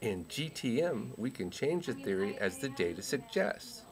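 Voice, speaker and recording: A man's voice: sing-song, moderate pace, a crowd in the background. A man voicing a goofy academic researcher puppet in a comic explainer, playful and silly. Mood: playful explaining. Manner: playful, silly, with high whoops.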